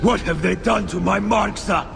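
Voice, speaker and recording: Gravelly, grim male soldier. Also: sits high, normal speed, fairly bright tone, faint music behind, full character voice. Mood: indignant. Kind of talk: video game dialogue.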